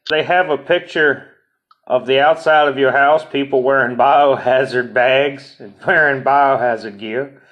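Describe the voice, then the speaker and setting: A man's voice: mid-range voice, measured pace, highs cut off. A man with a mocking manner gives an informal dramatic reading of online comments.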